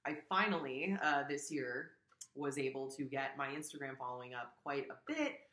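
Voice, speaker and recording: A woman in her thirties chatting casually as an interview guest, haltingly thinking aloud into a shared tabletop microphone in a small room. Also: extremely low pitch, expressive, a bit bright. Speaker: guest, yoga studio owner. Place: small indoor room with blinds. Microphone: shared USB tabletop microphone. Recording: echoey room.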